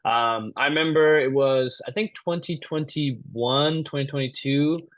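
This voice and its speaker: A man's voice: medium pitch, measured pace, no room sound. A young man hosting a podcast, chatting casually and asking questions over a video call. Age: young adult. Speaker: podcast host. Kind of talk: casual interview chat.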